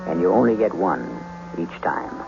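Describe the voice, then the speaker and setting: Male host for vintage radio drama, lowish voice, theatrical and portentous narration, dull old recording. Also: expressive, creaky, music in the background. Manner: portentous, deliberate, rhetorical.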